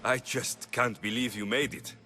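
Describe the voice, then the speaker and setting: An actor playing a guarded, dry and blunt young Greek mercenary in a video game cutscene. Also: male voice, medium pitch, steady tone, normal speed, bright, forceful tone, soft background music, lightly acted. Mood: surprised, curious.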